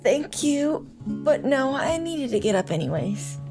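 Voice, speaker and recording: A woman playing a composed, gentle young mother as a radio guest, telling her story conversationally in an audio drama. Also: high-pitched, very animated, unhurried, music in the background, full character voice. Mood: calm, polite.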